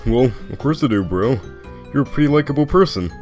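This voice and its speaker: A man plays a skeleton big brother in a comic dub, casual and unbothered, with a mid-pitched voice. He explains patiently and teases dryly, with faint music behind him. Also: expressive, fairly quick, darkish tone, lightly acted. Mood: casual teasing. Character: laid-back, teasing, knowing skeleton older brother.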